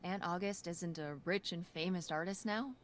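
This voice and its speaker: An actress playing a wry, curious teenage daughter in a naturalistic video game style. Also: female voice, fairly low pitch, normal speed, a little hiss or hum, natural voice.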